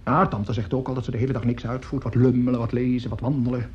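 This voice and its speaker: An actor playing an excitable, coarse opera conductor in a radio play, effusive and grumbling, stammering and swearing as he talks. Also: medium pitch, quick pace, background noise, close to an everyday voice. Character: excitable, coarse, opinionated male opera conductor at his piano. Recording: dull old radio recording, piano music.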